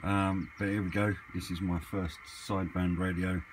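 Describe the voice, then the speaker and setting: A man's voice: low voice, flat delivery, normal speed. A man chatting casually and off the cuff, hesitant as he thinks aloud in a hobby vlog. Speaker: hobbyist vlogger. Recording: clearly noisy amateur camera recording.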